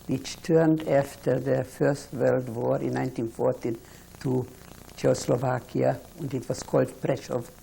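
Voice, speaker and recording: A Hungarian-born woman in her eighties, recalling her life slowly and haltingly in an oral history interview. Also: a bass voice, little pitch movement, creaky, dark tone, a little hiss or hum, low-quality recording. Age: 80s. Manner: slow, halting recollection with restarts.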